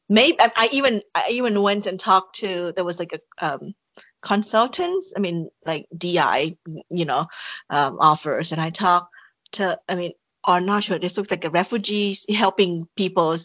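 A woman's voice: lowish voice, expressive, a little slow, a bit bright, no echo. A woman from Thailand, speaking English with a Thai accent, answering interview questions in a hesitant way with many fillers and restarts. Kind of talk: casual interview conversation.

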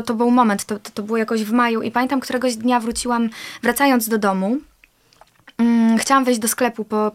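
A woman in her twenties, a guest in an interview, telling a personal story in a lively way with frequent restarts. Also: a little high. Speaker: actress, guest.

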